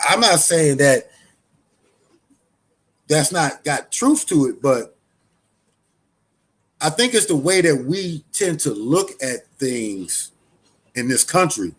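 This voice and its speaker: A man giving loose, conversational commentary, full of restarts and fillers, as a show host. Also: on the high side. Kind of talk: casual spoken commentary.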